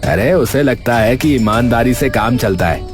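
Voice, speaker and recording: A man's voice: mid-range voice, expressive, normal speed, close to an everyday voice. Man acting a smug, greedy village crook over music. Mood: mocking. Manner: smug, mocking. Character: gloating, greedy corrupt village politician and contractor cronies. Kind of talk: animated moral story acting. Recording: music behind the voice.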